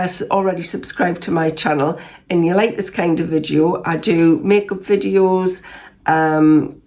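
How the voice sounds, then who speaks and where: A Geordie woman in her sixties, croaky from a cold, chatting casually through a makeup review vlog with lots of ums and restarts. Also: fairly low pitch, darkish tone. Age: 60s. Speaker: beauty vlogger.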